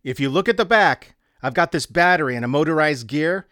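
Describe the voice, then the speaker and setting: A man's voice: a little high, expressive, fairly quick, fairly bright tone, no echo, studio quality, pitched above the performer's own voice. A man narrating a story as a wry, teasing male prankster artist with a provoking smart-aleck manner. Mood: scheming.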